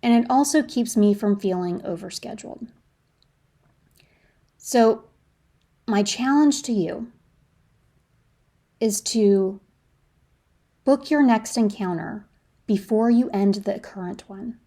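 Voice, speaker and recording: A woman's voice: mid-range voice, slow, pauses often, warm tone. A woman hosting a solo podcast, chatting conversationally through personal anecdotes and practical advice, leaning on key words.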